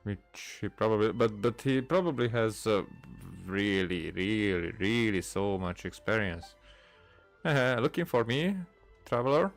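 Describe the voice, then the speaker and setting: A low male voice gives casual commentary on a video game and reads its dialogue aloud. He is hesitant and stumbles over words. Faint music plays behind him. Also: animated, measured pace. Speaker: gaming video host.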